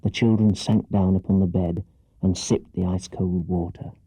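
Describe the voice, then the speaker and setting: Guy reading a kids' adventure story, low very dark husky voice, dramatic delivery, close dry sound. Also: steady tone, moderate pace, no echo, rough recording. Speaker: audiobook narrator. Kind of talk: dramatic scripted children's story narration.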